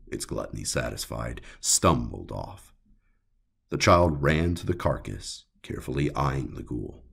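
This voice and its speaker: A man with a gravelly, husky voice narrating a scripted horror story dramatically, in short broken phrases. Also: a bass voice. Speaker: audiobook narrator.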